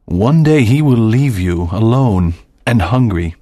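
A cold, controlling older father in a storybook drama, played by a man. His voice sits somewhat low and is recorded very dry. He speaks with hard, stern authority. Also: animated, measured pace, dark tone, dry recording. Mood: cold anger. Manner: hard, commanding. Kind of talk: dramatized audiobook dialogue.

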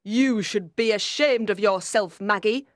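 Storybook aunt, played by a woman: older, critical, haughty, quick to scold, recorded dry. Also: fairly high pitch, very animated, no echo. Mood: stern reproach. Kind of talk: audiobook character voice.

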